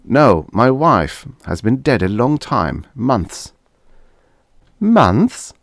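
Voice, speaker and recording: A man's voice: mid-range voice, very animated, drawn-out words, creaky, no room sound, studio quality, pitched above the performer's own voice, exaggerated voice. A man voicing a desperate, cynical young widower in a science fiction audiobook, insistent and pleading. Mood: hopeful, doubting.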